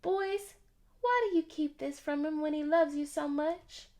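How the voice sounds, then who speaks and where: A woman voicing a sweet, wise young nanny in a storybook, gentle, earnest and expressive. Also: high voice, a little slow, pitched above the performer's own voice. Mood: gently probing.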